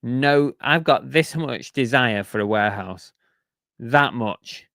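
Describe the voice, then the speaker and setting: A man's voice: medium pitch, animated, slow pace, gravelly, a bit bright, no echo, studio quality. A man chatting casually on a live stream, reading out viewer comments and grumbling about sales while losing his voice. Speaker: reseller streamer reading chat.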